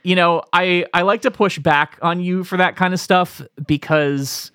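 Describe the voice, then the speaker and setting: A man's voice: high-pitched, steady tone, measured pace, sharp tone, clean tone, no echo, studio quality. Wry rambling man in his thirties. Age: 30s. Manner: rambling, stops and restarts, wry. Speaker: podcast co-host. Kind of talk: casual chat.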